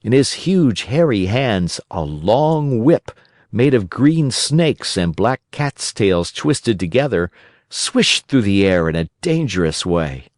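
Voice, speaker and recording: Animated male children's storybook narrator. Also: fairly low pitch, takes it slowly, no room sound, studio quality. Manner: gentle, expressive storytelling. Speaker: audiobook narrator.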